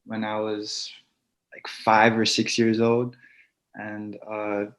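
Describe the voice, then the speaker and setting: Slow, flat-voiced young man on a dull call. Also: on the low side. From Hawaii. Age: young adult. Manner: soft-spoken, many fillers, slow and even. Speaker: football player guest. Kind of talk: casual online interview. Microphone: video call. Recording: dull lo-fi video call audio.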